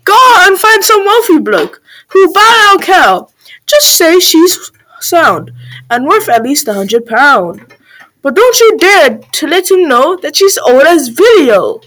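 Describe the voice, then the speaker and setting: A woman's voice: extremely high pitch, big pitch swings, slow, a bit bright, heavily acted, rough recording. A woman voicing a hot-tempered, exasperated mother in a comic rhyming storybook tale, scolding and exclaiming with emphasis. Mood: bossy.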